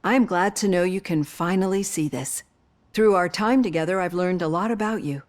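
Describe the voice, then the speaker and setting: An actress playing a psychologist in her fifties who asks gentle, probing questions. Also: lowish voice, moderate pace, lightly acted. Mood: earnest. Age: 50s. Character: female psychologist in her fifties, caring and composed.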